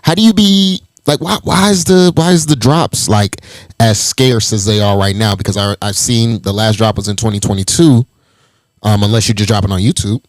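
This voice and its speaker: A man's voice: lowish voice, normal speed, no echo. Hyped-up man hosting a podcast. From Las Vegas. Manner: loose, hyped, profane slang. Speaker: podcast host. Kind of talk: casual podcast interview.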